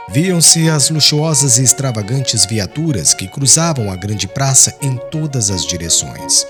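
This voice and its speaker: A man reading a literary audiobook in a solemn, carefully phrased, dramatic way. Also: lowish voice. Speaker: audiobook narrator. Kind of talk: dramatic scripted narration. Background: soft background music.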